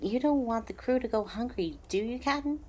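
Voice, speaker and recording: A woman's voice: fairly high pitch, expressive, a little fast, darkish tone. A woman playing a cheeky young cabin kid, an impudent twin on a pirate ship in an audio roleplay. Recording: faint background noise and ambience.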